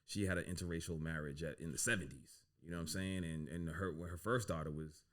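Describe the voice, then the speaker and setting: Man, very low voice, laid-back storyteller, podcast guest, filler-heavy talk. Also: fast. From United States. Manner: relaxed, filler-heavy, says "you know what I'm saying" often. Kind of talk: casual storytelling interview.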